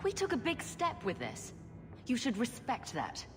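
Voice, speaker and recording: Wry, lively young woman. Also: a little high, a little slow. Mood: reproachful. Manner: wry, dry. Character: wry, assertive young adult elder sister, fantasy heroine. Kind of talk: dramatic video game acting. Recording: long reverb, music behind.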